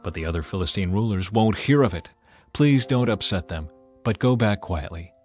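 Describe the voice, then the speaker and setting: A man's voice: fairly low pitch, animated, normal speed, a little mellow, soft background music. A man voicing a foreign king who speaks earnestly and apologetically. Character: anxious male foreign king, apologetic and fond.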